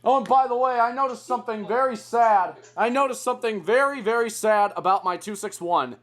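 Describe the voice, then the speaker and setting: A young man hosting a casual hobby show-and-tell, with an excitable manner and a crude, joking streak. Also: very high voice, a little fast, slight background noise. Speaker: video host.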